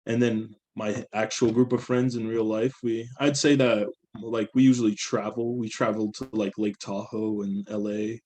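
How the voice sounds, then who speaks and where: A man's voice: lowish voice, level tone, moderate pace, mellow, a little hiss or hum, low-quality recording. A young man from the Bay Area giving a class presentation, casual and loose with many fillers. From San Francisco Bay Area. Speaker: student presenter.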